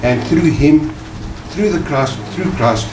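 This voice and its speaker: Older man, plain halting testimony. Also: a little high, lively intonation, background noise. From South Africa. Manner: plain-spoken, halting, sincere. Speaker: church visitor giving testimony. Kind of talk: personal testimony. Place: church service.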